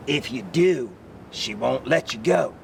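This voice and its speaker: A man's voice: animated, measured pace, gravelly. A man voicing a sly, self-assured tiger in a children's storybook, coaxing and casual. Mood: sly, friendly. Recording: distant mic, rumbly background noise.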